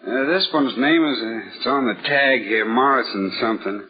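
An actor playing a blunt, chatty morgue attendant who talks in working-class slang, in 1940s radio style. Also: male voice, mid-range voice, normal speed, slightly rough, natural voice. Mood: matter-of-fact. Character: slangy, matter-of-fact male city morgue attendant. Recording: muffled old-time radio recording.

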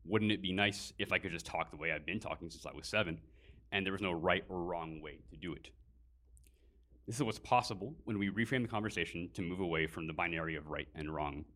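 A man's voice: low-pitched, a little fast, a bit bright. A man giving a prepared personal speech at a live event, earnest, with fillers between phrases. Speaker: guest speaker.

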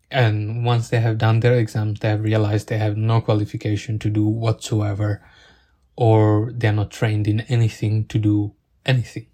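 A young man who is not a native English speaker gives an earnest thank-you monologue, halting and searching for words as he goes. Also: lowish voice, dark tone. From outside the UK. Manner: earnest and grateful.

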